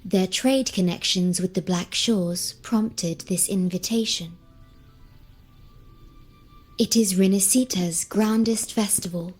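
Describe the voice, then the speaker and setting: An actress voicing a composed, formal young female advisor in a video game, explaining in a measured way. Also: mid-range voice, a little mellow, husky, soft background music. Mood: calm, informative. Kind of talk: scripted game dialogue.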